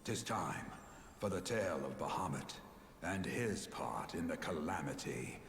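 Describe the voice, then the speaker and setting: An actor playing a solemn, tender old grandfather sage in a game cutscene, grave and archaic in his phrasing, with hushed phrase endings. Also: low-pitched, expressive, a little slow, a little hiss or hum, heavily acted. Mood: solemn, earnest. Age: older. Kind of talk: scripted dramatic game cutscene acting. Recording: echoey long reverb, game music behind. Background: game music.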